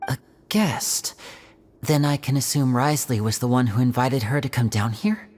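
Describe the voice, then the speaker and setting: A woman's voice: a bass voice, normal speed, a little mellow. A woman voicing an anxious, protective, self-blaming young man in a game dub. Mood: guarded. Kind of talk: English game dub acting.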